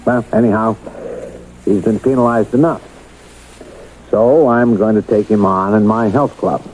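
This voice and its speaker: An actor in a radio drama playing a paternal but suspicious boss who speaks with measured, probing authority. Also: male voice, lowish voice, fairly even intonation, a little mellow, natural voice. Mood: disappointed, lecturing. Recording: muffled old radio broadcast, faint noise.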